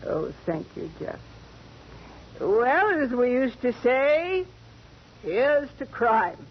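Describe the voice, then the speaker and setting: An actress playing a grand, boozy older former stage star, theatrical and gushing, in 1940s radio acting. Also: female voice, on the high side, very animated, takes it slowly, heavily acted. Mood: conspiratorial. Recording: muffled old radio recording with hiss.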